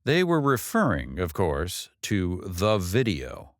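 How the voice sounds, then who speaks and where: A man reading a novel as an audiobook narrator, in a measured, expressive delivery with drawn-out phrases. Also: sits low, no room sound, clean studio sound.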